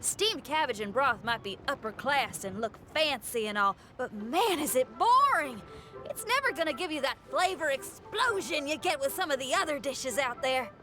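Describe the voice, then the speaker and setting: A woman's voice: sits high, animated, normal speed, sharp tone. A woman voicing an easygoing, upbeat, folksy young female musician in a video game. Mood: emphatic. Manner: casual, folksy. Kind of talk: video game character voice acting. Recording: music playing behind the voice.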